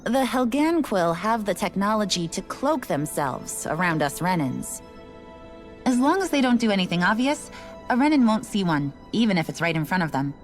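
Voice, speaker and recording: An actress playing a resigned, matter-of-fact station worker in a video game, explaining things in a casual, unbothered way. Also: female voice, medium pitch, sing-song, moderate pace, soft background music, lightly acted. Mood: candid. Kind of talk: video game cutscene voice acting.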